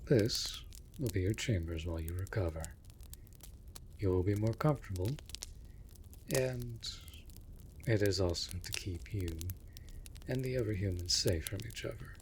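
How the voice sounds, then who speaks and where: Vampire master for roleplay audio drama, male actor: very low, slow delivery, formal, tender and protective. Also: a little hiss or hum. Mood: calm, reassuring. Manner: formal, measured, gentle, with breathy murmurs. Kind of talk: scripted roleplay audio drama addressed to the listener.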